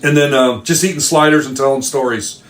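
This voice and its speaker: A man's voice: medium pitch, steady tone, brisk, fairly bright tone. A man recording a casual voice message, telling a personal story step by step. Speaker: fan contributing a voice message. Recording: roomy, echoing amateur voice message.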